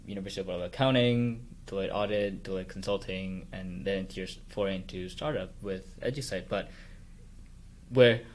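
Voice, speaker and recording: Lowish-voiced man hosting a casual interview. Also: brisk, a little hiss or hum. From South Korea, Hong Kong, then Canada from childhood. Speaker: podcast host, interviewer.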